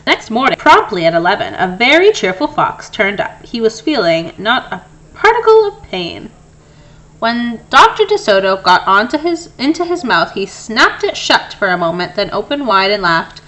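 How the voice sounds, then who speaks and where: A woman reading a children's story aloud in an expressive narrating style. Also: a little high, normal speed, poor audio. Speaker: storybook reader.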